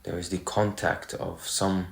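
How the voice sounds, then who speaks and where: Very slow, low-voiced man guiding meditation. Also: little pitch movement, vocal fry. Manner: slow, pausing between short phrases. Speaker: meditation guide. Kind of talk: guided meditation. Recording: roomy, echoey sound.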